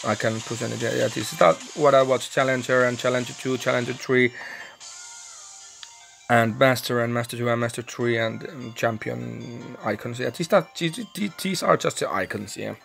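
A young man from Finland, speaking English with a Finnish accent as his second language, commenting excitedly and swearing while recording a mobile game. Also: mid-range voice, moderate pace, creaky, soft background music. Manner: swearing, excitable, thinking aloud, broken English. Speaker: gaming channel host.

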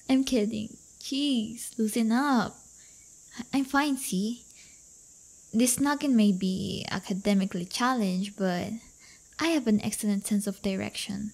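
A woman's voice: mid-range voice, slow, creaky, pauses often, darkish tone, poor audio. A woman playing a brash, playful teenage delinquent girlfriend in an intimate audio roleplay, with slangy, teasing, casual talk. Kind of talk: audio roleplay acting, intimate one-sided dialogue.

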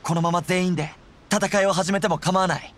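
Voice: mid-range voice, fairly even intonation, brisk, fairly bright tone, slight background noise, natural voice. A performer playing an earnest, loyal and fiery young male resistance general in an anime game, speaking resolutely. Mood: explaining, concerned. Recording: prominent music under the voice.

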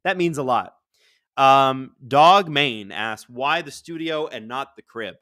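Chatty man with a booming voice. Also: slow. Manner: chatty, jumps into excited play-by-play. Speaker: livestream host. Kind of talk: casual live watch-along chat. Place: studio.

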